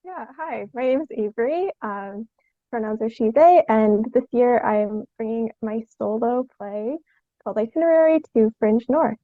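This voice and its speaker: High-voiced young woman, muffled audio. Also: expressive, moderate pace, dry recording. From Canada. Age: young adult. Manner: informal, often giggling mid-sentence. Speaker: theatre artist being interviewed. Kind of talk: casual interview chat.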